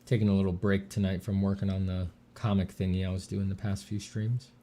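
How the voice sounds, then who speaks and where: A man streaming while he draws, chatting casually with dry deadpan jokes and a slight slur from a sore mouth. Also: low-pitched, brisk. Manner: dry deadpan joking, relaxed and rambling. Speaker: artist streaming a drawing session. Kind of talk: casual livestream chat.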